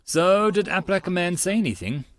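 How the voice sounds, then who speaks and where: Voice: mid-range voice, animated, moderate pace. An audiobook narrator giving a theatrical, emphatic first-person reading of an anime-style story. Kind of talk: dramatic first-person reading of a visual novel.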